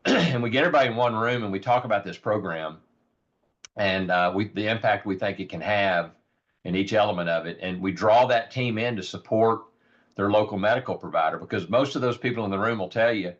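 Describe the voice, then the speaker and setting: A man with a raspy, slightly low voice talks through a rural health program with earnest, unpolished energy. He leans on ums and repeats words as he goes. The audio is muffled and lo-fi. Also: fairly quick. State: raspy, hoarse voice. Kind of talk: informal virtual presentation. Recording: dull lo-fi audio.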